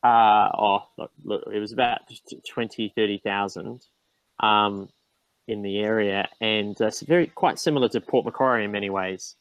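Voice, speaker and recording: A man's voice: fairly low pitch, moderate pace, no room sound, poor audio. A man, a guest in a casual interview, talking with frequent ums and restarts. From New Zealand. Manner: hesitant, restarts with many ums.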